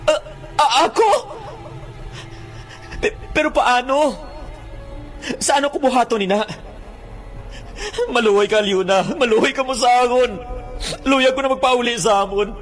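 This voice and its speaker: An actor playing a frightened, bewildered bird catcher in a Filipino radio drama, stammering and pleading with a Filipino accent. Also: male voice, very high voice, unhurried, long pauses, faint music behind. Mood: anxious.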